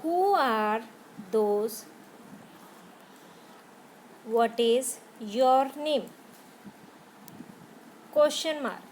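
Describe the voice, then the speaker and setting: Slow Indian English woman teacher. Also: on the high side, animated, a little mellow, slight background noise, low-quality recording. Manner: slow, spells words out letter by letter. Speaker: school teacher. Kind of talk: grammar lesson for young pupils.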